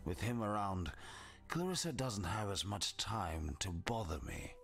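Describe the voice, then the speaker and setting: A man's voice: lowish voice, animated, measured pace, a bit bright. An actor playing a bitter, disfigured outcast in a video game, sardonic and florid in his wording. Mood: grudging, weary. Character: bitter, disfigured male outcast with reluctant soft spot. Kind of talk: scripted game character dialogue. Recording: music behind the voice.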